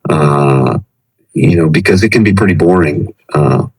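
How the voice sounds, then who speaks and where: A middle-aged man, a professor who teaches English, talking through his teaching experience in a relaxed podcast chat, often pausing and restarting his sentences. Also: extremely low pitch, husky, low-quality recording. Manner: reflective, hesitant, many restarts and fillers. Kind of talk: conversational podcast interview.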